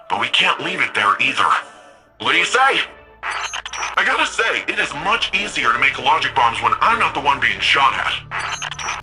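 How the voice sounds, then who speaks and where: A man's voice: on the high side, bright, forceful tone, full character voice, rough recording. A man playing a friendly, wry, tech-savvy ally in a sci-fi video game, chatty and quippy. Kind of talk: video game character dialogue.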